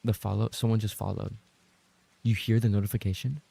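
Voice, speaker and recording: A lowish male voice, thick with fry and very husky. He is a streamer talking casually to his chat, rambling along with playful banter. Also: little pitch movement, a little fast, dark tone. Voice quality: gravelly, husky. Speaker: livestreamer and ASMR voice actor reading chat.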